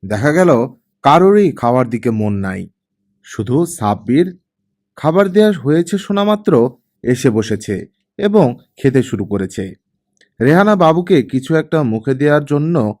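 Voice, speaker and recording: A male audiobook narrator reads fiction with a mellow, relaxed tone. His intonation moves widely as he tells the story. He sets up scenes and slips into dramatized dialogue. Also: medium pitch, measured pace, pauses often.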